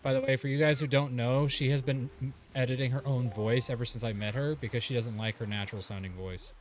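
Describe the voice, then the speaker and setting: A man's voice: medium pitch, fairly even intonation, quick pace. A man co-hosting a gaming livestream, talking casually and grumbling when the game frustrates him. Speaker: co-streamer and partner. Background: game music.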